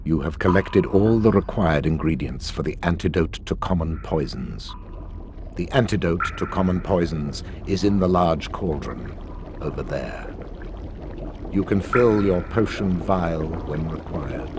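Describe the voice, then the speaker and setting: An actor playing a cold, condescending potions teacher with a menacing drawl in a video game. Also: male voice, low voice, animated, unhurried, noisy background. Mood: cold, sneering.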